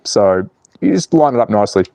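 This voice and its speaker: A man's voice: on the low side, big pitch swings, fairly quick, gravelly, dark tone, no room sound, clean studio sound. Cheeky Australian man in his forties. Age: 40s. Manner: cheeky, swearing, laughs at his own jokes, reads out chat. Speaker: slot car channel host. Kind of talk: casual livestream chat.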